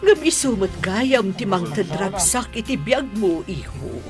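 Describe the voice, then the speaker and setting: Actress doing a kindly radio drama mom, really animated and affectionate, faint music behind. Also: female voice, mid-range voice, measured pace, lightly acted. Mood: affectionate, happy. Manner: emotive, affectionate. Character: kindly, approving mother welcoming her daughter-in-law.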